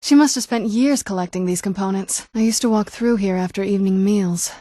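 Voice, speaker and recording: A woman's voice: mid-range voice, brisk, warm tone, no room sound, clean studio sound, natural voice. A young woman playing an ancient but youthful vampire noblewoman in a video game, speaking in a wry, understated, conversational way. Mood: wry, composed. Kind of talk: video game character dialogue.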